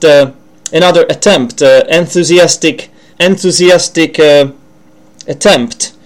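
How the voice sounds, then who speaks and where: A Czech man with a Czech accent gives an informal English lesson as a podcast host, rambling, correcting himself and checking in with the listener. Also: on the high side. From Czech Republic. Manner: rambling, self-correcting, with frequent asides and check-in questions. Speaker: language podcast host and teacher.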